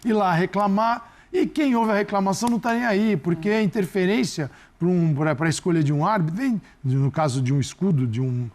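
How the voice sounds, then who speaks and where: A man's voice: sits high, fairly quick, hardly any pauses, darkish tone. A male football pundit on a panel, emphatic and critical, repeating words for stress. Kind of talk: studio panel commentary.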